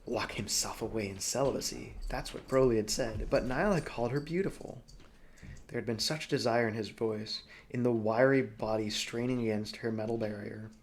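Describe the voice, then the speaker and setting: A man narrating a story as an audiobook reader, expressive and dramatic, phrasing deliberately. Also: medium pitch, slight background noise.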